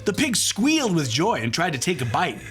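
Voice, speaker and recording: A man's voice: on the high side, huge pitch swings, fairly quick, a bit bright, soft background music. A man reading a children's story aloud as a playful storytelling narrator. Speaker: comedian reading children's books. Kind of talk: animated storybook read-aloud.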